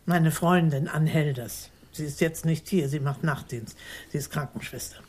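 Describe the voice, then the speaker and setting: A woman's voice: deep voice, normal speed, darkish tone, breathy edge. An actress playing a stout, defiant middle-aged woman in a German radio crime drama, blunt, dry and sardonic. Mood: scornful, matter-of-fact. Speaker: murder suspect, former maths teacher.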